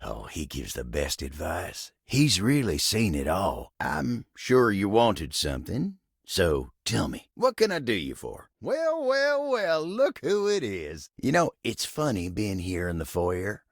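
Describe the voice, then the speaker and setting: A man voicing a folksy, bashful, old-fashioned talking table in a video game, with a gravelly Southern US drawl and a polite, rambling, easygoing way of talking. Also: deep voice, wildly swooping pitch, a little slow, few pauses, no room sound, clean studio sound, heavily acted. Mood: friendly, reflective. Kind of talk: video game character dialogue.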